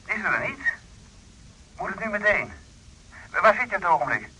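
An actor in a radio drama playing a busy, brisk but concerned friend, with a rough voice over the telephone. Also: male voice, high voice, big pitch swings, bright, forceful tone, slight background noise, full character voice, poor audio. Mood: harried, impatient. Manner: brisk, impatient yet caring.

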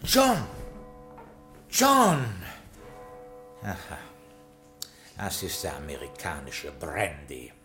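An actor playing a nervous, formal old butler in an old radio crime play, talking in a deferential, stammering way. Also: male voice, sits low, huge pitch swings, very slow, pauses often, music in the background, full character voice. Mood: excited, fussy.

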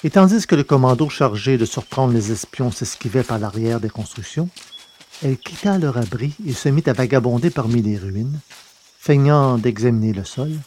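Male audiobook narrator, mid-pitched, measured and steady, podcast novel reading. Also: a little hiss or hum. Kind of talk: scripted narration of a science-fiction novel.

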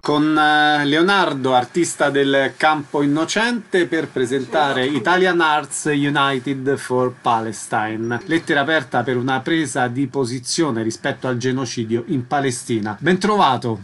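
A man's voice: mid-range voice, unhurried, few pauses, a bit bright, a little hiss or hum. A man hosting a radio interview, introducing guests, announcing details and asking questions.

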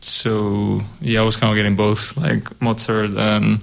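A young man from Spain with a Spanish accent, a composer talking casually on a radio show in a studio. He pauses often with ums. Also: lowish voice, little pitch movement, gravelly. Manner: hesitant, many ums. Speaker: guest composer, co-host.

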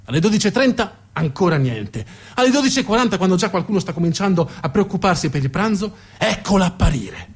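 A man's voice: sits high, a bit bright. Guy doing dramatic stage narration, emphatic, faint music behind. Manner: emphatic, building lists and repetitions. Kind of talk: dramatic theatrical storytelling.

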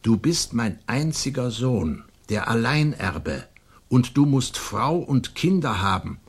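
An actor in a German radio play, playing a proud, domineering old colonial nobleman and stern father with a husky voice and an imperious, emphatic delivery. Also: mid-range voice, very animated, takes it slowly, full character voice. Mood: scolding. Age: older.

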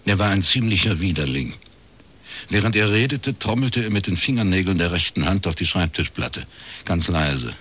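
A man's voice: deep voice, animated, moderate pace, a bit bright, slightly rough, full character voice. A man playing a cynical middle-aged private detective who narrates dryly and laconically in a radio crime drama. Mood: sardonic. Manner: dry, laconic, short clipped sentences.

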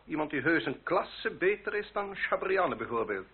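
Haughty, husky-voiced French marquis. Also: male voice, a little high, very animated, normal speed, heavily acted. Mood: haughty, icy. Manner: formal, haughty, elaborately polite. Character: proud, courtly French marquis and duellist, coolly menacing. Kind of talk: old radio play acting. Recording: dull lo-fi old radio recording.

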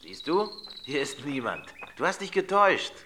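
An actor playing a soothing, scheming husband in an audio drama. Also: male voice, a little high, sing-song, moderate pace, pushed and bright, a little hiss or hum. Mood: soothing, dismissive. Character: soothing, scheming husband dismissing his wife's fears.

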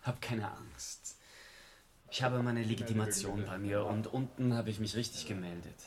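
Radio drama role: actor as hunted, bitter, protective man, lowish voice, crowd murmur behind. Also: fairly even intonation, moderate pace, natural voice. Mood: reassuring. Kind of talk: realistic radio drama acting.

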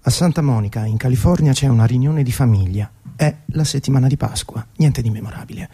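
A man's voice: medium pitch, a little fast, loud music behind the voice. A man telling a scripted story as the host and narrator of a radio programme.

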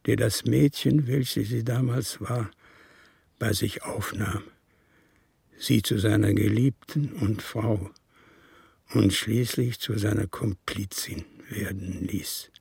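Gravelly, husky old comrade confessing. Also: male voice, on the low side, darkish tone, no room sound, full character voice. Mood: grim, haunted. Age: older. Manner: slow, deliberate, formal. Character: embittered old communist comrade confessing, resolute and unrepentant. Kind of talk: radio drama monologue and dialogue.

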